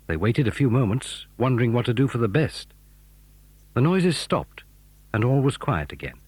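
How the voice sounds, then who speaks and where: A man's voice: medium pitch, big pitch swings, moderate pace, darkish tone, husky, no echo. A British man narrating a children's adventure drama in a scripted storytelling style.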